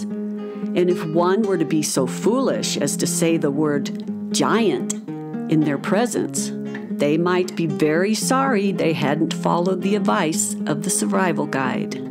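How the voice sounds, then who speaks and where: Lively, unhurried woman storyteller over music. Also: on the low side. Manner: measured, expressive storytelling. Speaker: narrator. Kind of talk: scripted children's storybook narration.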